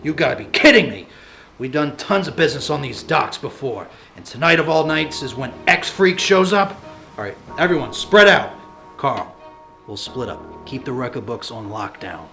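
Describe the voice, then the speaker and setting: A man's voice: mid-range voice, very animated, normal speed, creaky, sharp tone, full character voice. A man playing a smug, commanding gangster boss in an audio drama, with an imperious, businesslike manner. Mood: angry, alarmed. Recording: music behind the voice.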